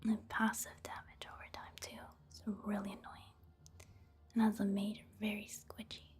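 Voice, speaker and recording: A woman giving soft-spoken ASMR game commentary in a hushed, breathy whisper, in a gentle, casual, unhurried manner. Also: mid-range voice, monotone. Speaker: gaming ASMR video host.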